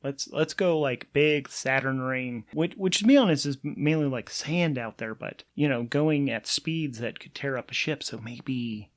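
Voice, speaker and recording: A man narrating a solo tabletop game as its game master and player, thinking aloud in an informal, animated way. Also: mid-range voice, a little slow, few pauses.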